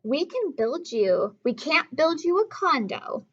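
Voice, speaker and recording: A woman voicing a patient, wry father in a storybook read-aloud, measured and gently firm. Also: high-pitched, sing-song, muffled recording, voice pushed up, exaggerated voice. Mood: reasoning. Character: patient, wry father answering his young son.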